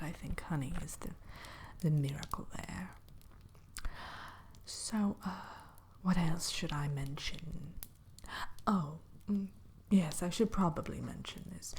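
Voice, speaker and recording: Slow, breathy whispering witch played by a woman. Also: low voice, a little mellow, full character voice. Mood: hushed, gently cautioning. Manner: unhurried, hesitant musing, coyly mischievous. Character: kindly, spooky witch innkeeper welcoming a guest. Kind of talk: whispered ASMR roleplay.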